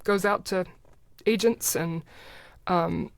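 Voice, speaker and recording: Low-pitched woman, casual and conversational. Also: takes it slowly, vocal fry, no room sound. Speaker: podcast guest, writer and advertising creative. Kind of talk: casual conversational interview, explaining.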